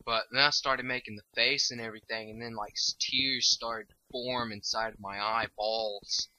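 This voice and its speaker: A man's voice: medium pitch, steady tone, normal speed, pushed and bright, slight background noise, poor audio. A tired young man rambling through casual gameplay commentary, swearing freely and losing his train of thought. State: tired and stoned. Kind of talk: casual let's-play commentary.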